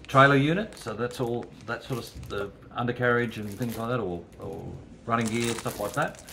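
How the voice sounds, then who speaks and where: A man's voice: mid-range voice, background noise. An older man casually showing a model kit from his hobby shop, rambling with fillers and restarts. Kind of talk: casual product unboxing. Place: hobby shop floor, indoors.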